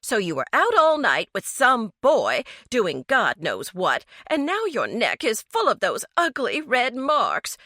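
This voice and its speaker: A woman voicing a frantic, scolding middle-aged mother, high and shrill. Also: huge pitch swings, unhurried, hardly any pauses, pushed and bright, no echo, studio quality, full character voice. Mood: outraged.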